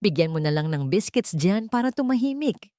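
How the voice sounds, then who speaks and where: A woman's voice: medium pitch, big pitch swings, fairly quick, mellow, dry recording, close to an everyday voice. Woman playing a snappy filipina mistress, lazy n dismissive, audiobook drama, dry sound. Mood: irritated. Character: lazy, irritated, callous mistress, adult woman.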